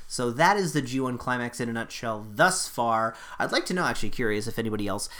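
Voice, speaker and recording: Bright-voiced man chatting wrestling. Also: mid-range voice, lively intonation, quick pace. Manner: rambling, self-correcting, conversational. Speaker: wrestling podcast host. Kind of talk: casual solo commentary.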